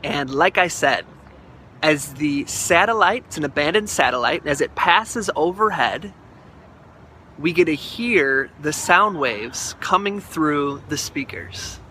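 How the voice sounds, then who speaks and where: An effusive, excited man introducing and conducting an interview as the host of a show, speaking outdoors. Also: a little high, slow pace, slight background noise. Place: outdoors at an art installation.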